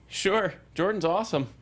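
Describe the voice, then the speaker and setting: A man's voice: high voice, a bit bright. A man chatting casually as a film reporter on a talk show panel.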